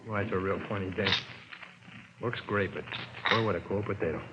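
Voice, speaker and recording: A man's voice: sits low, fairly even intonation, fairly quick, lightly acted. An actor in an old radio crime drama playing a sleazy, chummy peddler with slangy, wheedling salesman patter. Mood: sour. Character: sleazy, chummy male peddler of illicit picture books. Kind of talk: 1950s radio drama acting. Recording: dull, very noisy old radio broadcast.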